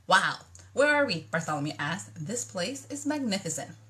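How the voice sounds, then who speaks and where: A woman's voice: medium pitch, moderate pace. Chatty woman with a Dominican accent. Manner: chatty, animated dialogue voices. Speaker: author reading her own book. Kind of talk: book reading with asides.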